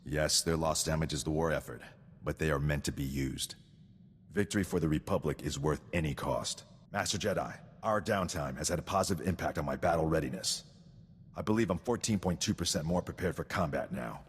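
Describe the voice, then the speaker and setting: An actor playing a stern, dutiful sergeant in a video game, speaking formally and precisely, coldly devoted to victory. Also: male voice, a bass voice, brisk, breathy edge, in a large hall. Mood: stoic. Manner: formal, precise, recites statistics. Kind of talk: scripted video game acting.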